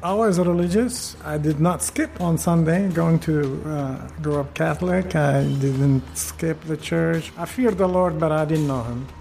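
A middle-aged man from Iraq telling his family's story of persecution in an earnest, halting way. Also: fairly high pitch, creaky, a little mellow, faint music behind. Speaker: interviewee. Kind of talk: personal testimony.

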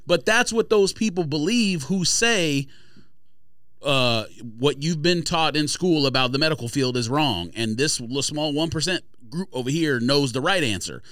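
A man with a fairly high voice argues casually on a podcast. His intonation swings widely as he makes blunt, emphatic points, and the sound is close and dry. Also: lively intonation, moderate pace, a bit bright, no echo. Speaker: podcast host.

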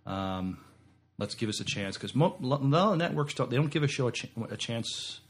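A man co-hosting a podcast in casual discussion, halting and often repeating the starts of words. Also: mid-range voice, expressive, breathy edge. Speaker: podcast co-host.

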